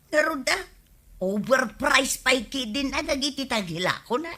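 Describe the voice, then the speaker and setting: A woman's voice: sits high, lively intonation, moderate pace, bright, forceful tone, full character voice. A woman playing a complaining, haggling village woman in a radio comedy-drama, with an Ilocano accent.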